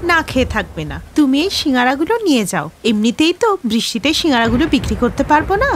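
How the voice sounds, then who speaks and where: Cartoon heroine, female performer: struggling kind-hearted vendor, high pitch, animated intonation. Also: fast talker, slight background noise. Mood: kind, worried. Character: worried, kind-hearted samosa seller, struggling young wife. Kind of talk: cartoon story voice acting.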